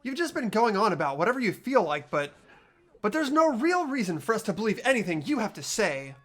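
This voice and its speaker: A woman's voice: medium pitch, very animated, a little fast. A woman voicing a defiant, stubborn young student hero in a fan-dubbed video game, earnest and indignant.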